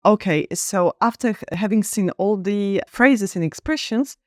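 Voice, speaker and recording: A woman teaching an online English lesson, clear, upbeat and encouraging, slowing down to stress example phrases. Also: mid-range voice, no echo, clean studio sound.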